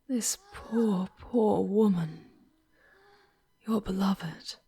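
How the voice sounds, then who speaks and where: A woman voicing a charming, tender, sorrowful female demon guide in a breathy, whispered ASMR roleplay, with halting, dramatic phrasing and long pauses. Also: mid-range voice, dark tone, exaggerated voice.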